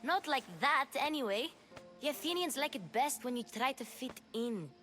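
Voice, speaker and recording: A woman's voice: high-pitched, lively intonation, moderate pace, a bit bright, soft background music. A woman playing a cheerful, bossy young girl in a video game, confident and matter-of-fact. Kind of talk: video game dialogue acting.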